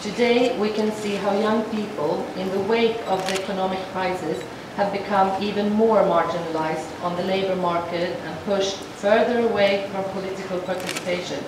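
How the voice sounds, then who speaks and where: A woman voicing a formal speech to an assembly as a sign language interpreter, measured and halting, with frequent restarts. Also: medium pitch, steady tone. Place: large assembly hall. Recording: echoey hall from far off, noisy.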